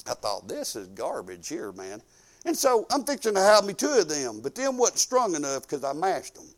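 A man in his fifties with a Southern US accent preaching informally, folksy and conversational, swinging emphatically between quiet asides and loud declarations. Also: a little high, huge pitch swings, moderate pace, poor audio. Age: 50s. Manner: folksy and conversational, peppered with praise exclamations. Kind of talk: informal sermon with personal anecdotes and prayer.

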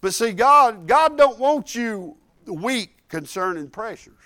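A man preaches in a high, bright voice. He swings from loud, fervent exhortation down to soft, chatty asides, talking straight to his congregation. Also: lively intonation, slow, dry recording, clean studio sound. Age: 50s. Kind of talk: sermon.

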